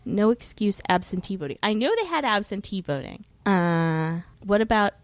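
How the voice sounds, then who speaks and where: A woman's voice: medium pitch, lively intonation, normal speed, no room sound. An American woman chatting casually as a podcast guest, informal and wry, swearing freely and wandering into asides. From United States. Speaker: podcast guest who hosts her own show.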